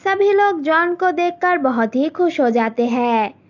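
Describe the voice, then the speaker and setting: Woman, storybook narrator, very high voice, plain halting delivery, dull lo-fi sound. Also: expressive. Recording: dull lo-fi recording.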